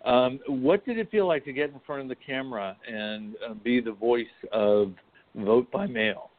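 A man's voice: mid-range voice, moderate pace. A man hosting an interview show, asking questions in a hesitant way with many ums. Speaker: host. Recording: tinny phone-like line, faint noise.

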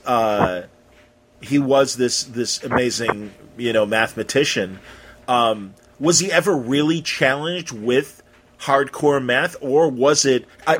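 Middle aged guy doing a loose chatty interview, kinda rambles, average pitch. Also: a bit bright. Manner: rambling, informal, talks around his questions. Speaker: radio host interviewing an author. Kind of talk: casual conversational interview.

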